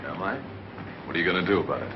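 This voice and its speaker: An actor playing a cool, imperious enemy commander in an old movie serial. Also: male voice, sits low, expressive, racing, background noise. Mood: stern, threatening. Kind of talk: 1940s movie serial acting. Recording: muffled old film soundtrack, loud music behind.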